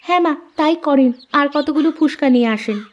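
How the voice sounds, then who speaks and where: Woman voicing a high-pitched, eager young daughter-in-law. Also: a little fast, dull audio, a little hiss or hum, natural voice. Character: young daughter-in-law, eager, cunning, polite food lover. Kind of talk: Bengali fairy-tale cartoon voice acting. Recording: music behind the voice.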